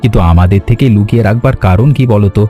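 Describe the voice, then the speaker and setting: A man voicing an earnest younger brother, an office worker in an animated story, speaking emphatically. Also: on the low side, rapid-fire, muffled recording, faint music behind, lightly acted. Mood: curious.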